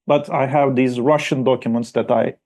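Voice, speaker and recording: Mellow-voiced man giving an academic talk. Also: medium pitch, steady tone, moderate pace. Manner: hesitant, many fillers and restarts. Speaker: historian presenting a paper. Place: conference room.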